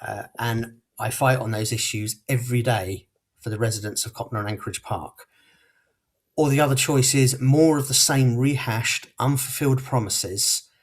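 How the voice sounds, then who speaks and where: A man from Portsmouth giving a firm, punchy closing campaign speech as a local candidate, in short declarative sentences. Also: mid-range voice. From Portsmouth, England.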